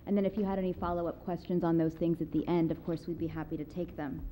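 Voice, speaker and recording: A woman's voice: fairly low pitch, little pitch movement, quick pace, warm tone, a little hiss or hum, low-quality recording. A woman on city planning staff presenting at a public meeting, careful and explanatory, with frequent fillers. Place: council chamber, seated at a table.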